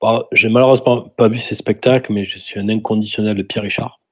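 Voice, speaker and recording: A man's voice: on the low side, steady tone, fast, a bit bright, breathy edge. A man talking easily as a guest on a radio show. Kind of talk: radio chat.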